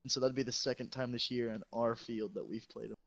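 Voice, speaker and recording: A teenage boy, a high school football player, telling stories in a casual, profane and boastful way. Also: steady tone, fast, creaky, no echo. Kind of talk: casual storytelling chat. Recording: dull lo-fi sound.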